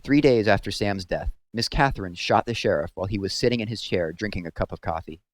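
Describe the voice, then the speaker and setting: A man narrating a story aloud in a measured, serious storytelling manner. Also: on the low side.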